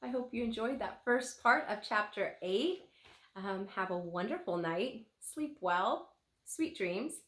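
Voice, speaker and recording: A woman reading a children's book aloud as a teacher would, with an expressive, animated delivery. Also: medium pitch, slow. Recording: roomy, echoing room sound.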